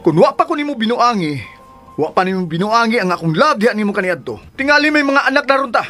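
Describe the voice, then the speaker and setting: A man's voice: sits high, very animated, fast talker, sharp tone, faint music behind, heavily acted. A man acting in a Cebuano radio drama from the Philippines as an exasperated, defiant man, argumentative and emphatic. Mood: resolute, exasperated. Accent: Filipino, Cebuano. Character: exasperated, defiant man torn between two women.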